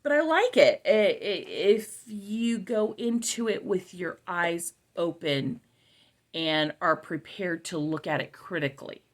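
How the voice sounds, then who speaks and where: A middle-aged woman with a slightly low-pitched voice talks casually, as a reviewer addressing viewers. She leans on key phrases and repeats them to stress her point. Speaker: homeschool product reviewer.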